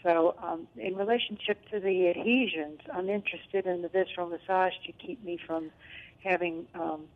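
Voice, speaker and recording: Female caller, hesitant and filler-heavy, low-pitched, heard over the phone. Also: steady tone, moderate pace. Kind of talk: call-in question. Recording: phone line.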